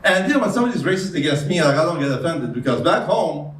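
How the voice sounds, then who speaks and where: A male comedian with a foreign accent telling deadpan stories on stage, hesitating often with fillers. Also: on the high side, quick pace. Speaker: stand-up comedian. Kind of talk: stand-up comedy set. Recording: roomy club sound from a distance. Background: audience laughter.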